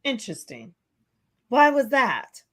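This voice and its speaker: A woman's voice: a little high, sing-song, measured pace, fairly bright tone. A woman hosting a podcast interview, who asks questions and responds with short affirmations. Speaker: podcast host.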